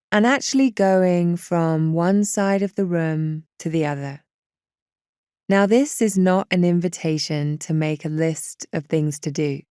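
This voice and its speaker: A woman leads a guided meditation in a soft-edged, relaxed voice. She speaks very slowly and reassuringly, with a smooth, clean tone. Her manner stays gentle and unhurried throughout. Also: on the low side, dry recording, studio quality.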